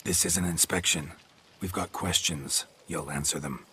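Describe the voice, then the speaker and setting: An actor playing a blunt, terse monster hunter in a video game, with a gravelly voice and flat, clipped delivery. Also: male voice, deep voice, normal speed, slight background noise. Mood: blunt, probing.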